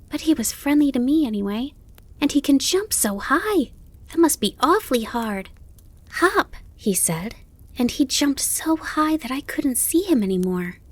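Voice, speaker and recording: A woman voicing an excitable, polite, innocent young male fawn in an animal storybook, eager and full of wonder. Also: high-pitched, pitched above the performer's own voice. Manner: wide-eyed, eager, wondering.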